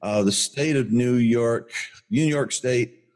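An older man with a booming voice, co-hosting a podcast and telling a story in an enthusiastic, rambling way with many restarts. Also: slow, darkish tone. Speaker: podcast co-host. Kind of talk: casual rambling chat.

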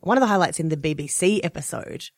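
A woman's voice: on the low side, animated, quick pace, dry recording, studio quality. A woman hosting a podcast, asking her guests curious, admiring questions in a casual chat. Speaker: podcast host.

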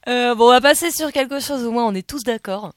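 A woman's voice: a little high, brisk, dry recording, studio quality. A woman co-hosting a music radio show in casual, teasing chat.

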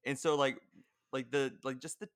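A man's voice: medium pitch, fairly even intonation, normal speed, dry recording, clean studio sound. A man co-hosting a podcast, bantering casually in a cheeky, candid and playful way. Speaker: podcast co-host.

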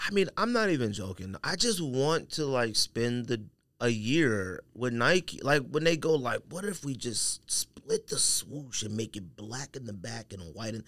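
Animated American man, bright lively voice. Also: medium pitch, measured pace, no room sound. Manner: animated, anecdotal, acts out imagined reactions in slang. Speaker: podcast co-host. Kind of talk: casual sneaker banter, slangy.

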